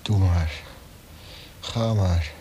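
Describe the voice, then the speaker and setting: Worn-out prisoner in a radio drama, slow halting lowish voice, noisy old recording. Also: male voice, dark tone. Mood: defiant. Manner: halting, pleading, dwelling on words. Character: weary, condemned male prisoner, wary and quietly defiant. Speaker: actor. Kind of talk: radio play acting.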